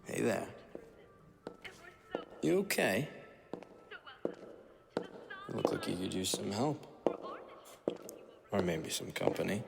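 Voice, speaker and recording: Man acting leering male predator, gravelly fry, swooping pitch, taunting drawl, radio drama, cavernous echo. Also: very animated, slow pace, pauses often, full character voice. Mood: fake friendly. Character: predatory, leering man who harasses a woman. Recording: echoey reverberant hall, noisy.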